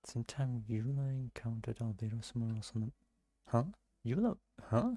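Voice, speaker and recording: A man with a gravelly, husky voice doing casual gameplay commentary, musing aloud in halting bursts. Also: medium pitch, mellow, dry recording. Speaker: game streamer.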